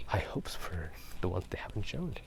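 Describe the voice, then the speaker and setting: A man talking softly in a casual, rambling ASMR style, often slipping into a breathy whisper. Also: sits low, big pitch swings. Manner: hushed, halting, unhurried. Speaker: ASMR video host. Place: outdoors at night, walking near a park. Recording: clearly noisy outdoor ambient sound. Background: outdoor ambience.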